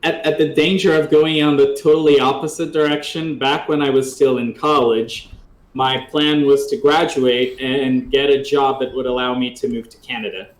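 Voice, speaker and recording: A man's voice: mid-range voice, little pitch movement, normal speed. Modest young man in an echoey room. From Brazil. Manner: modest, self-deprecating, laughs nervously. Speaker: game developer guest. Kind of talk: casual interview chat.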